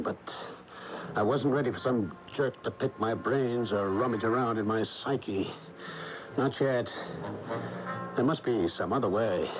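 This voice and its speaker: An actor playing a defensive, high-strung middle-aged workaholic husband with an American accent in a radio drama. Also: male voice, close to an everyday voice. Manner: agitated, defensive, sardonic. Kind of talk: 1980 radio drama acting and narration. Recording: noisy dull AM radio broadcast tape.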